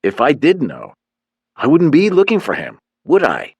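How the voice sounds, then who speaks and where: A man's voice: on the high side, normal speed, husky, no room sound, voice pushed up, full character voice. Brief: man playing a cool, sarcastic hero who needles his captors, in an audiobook. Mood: deadpan. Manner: deadpan, sarcastic. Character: wisecracking male hero held captive, calm and dry.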